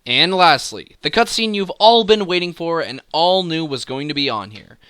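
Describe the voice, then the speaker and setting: A man's voice: fairly high pitch, animated, moderate pace, fairly bright tone, smooth, no room sound, studio quality. A man hosting a video game top-ten countdown, speaking with emphatic, enthusiastic commentary and dramatic build-ups. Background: music.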